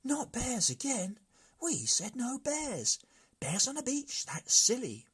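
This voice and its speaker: Man doing an excitable, high-voiced brigand sidekick. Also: slow pace, slightly rough, full character voice. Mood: protesting. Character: excitable male brigand underlings, childishly eager and protesting. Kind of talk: storybook character voice.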